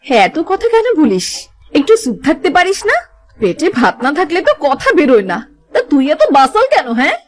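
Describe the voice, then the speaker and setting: A woman's voice: sits high, huge pitch swings, a little fast. A woman voicing a scolding, sarcastic, exasperated village mother in a cartoon. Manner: nagging, sarcastic. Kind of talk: cartoon voice acting.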